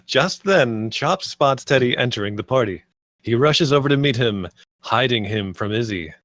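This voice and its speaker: Male narrator for a table read, mid-pitched, dry close sound, scripted stage directions. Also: animated, moderate pace, a bit bright, no echo.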